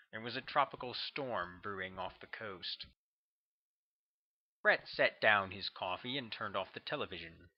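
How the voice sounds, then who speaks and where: A man's voice: mid-range voice, no room sound, highs cut off. Man narrating his own novel, dry sound. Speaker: author reading his novel. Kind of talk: audiobook narration.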